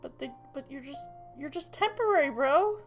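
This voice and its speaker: A woman's voice: high-pitched, animated, normal speed. A woman streaming a game, reacting in casual, offhand chat. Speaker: gameplay streamer. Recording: clear game music behind.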